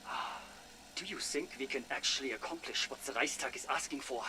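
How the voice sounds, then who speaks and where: A man's voice: mid-range voice, fairly quick, pushed and bright, a little hiss or hum, rough recording. A man playing a nervous, doubting German scientist subordinate, anxious and questioning, in a game audio log. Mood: uneasy.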